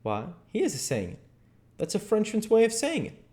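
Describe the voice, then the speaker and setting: An earnest country boy from a storybook, played by a man, speaks with a Southern American accent in a raised, lilting pitch. He is a know-it-all, persuasive and full of questions. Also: huge pitch swings, normal speed, warm tone. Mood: correcting. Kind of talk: audiobook character dialogue.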